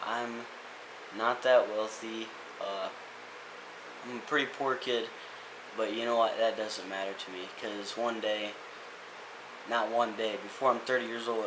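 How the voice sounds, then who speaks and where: A man's voice: fairly low pitch, background noise. Earnest young man in an echoey room. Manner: earnest, rambling, many restarts and fillers. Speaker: vlogger. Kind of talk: casual motivational rant.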